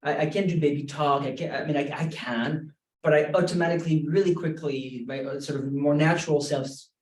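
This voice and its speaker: Guy, kinda higher voice, keeps restarting, sounds far off from the mic. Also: steady tone, slight background noise. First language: French. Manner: halting, self-correcting, many "sort of"s. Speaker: graduate language instructor. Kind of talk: roundtable discussion. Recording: roomy, from far off.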